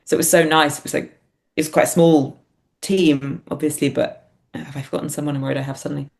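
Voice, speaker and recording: A woman's voice: low-pitched, steady tone, brisk, vocal fry. A woman in a casual interview, speaking informally and hesitantly as a guest, often dropping in "like". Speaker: interview guest, theatre writer and performer.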